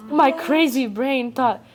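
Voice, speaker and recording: A woman's voice: high voice, very noisy. A young adult woman, not a native English speaker, reading a ghost story aloud on a casual podcast, stumbling and stopping to joke. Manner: reads haltingly, breaks off to joke and laugh.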